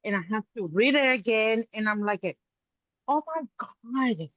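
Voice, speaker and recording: A woman's voice: fairly high pitch, expressive, measured pace, dry recording, highs cut off. A woman from Puerto Rico with a thick Puerto Rican Spanish accent, chatting animatedly as an interview host. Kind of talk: casual interview chat.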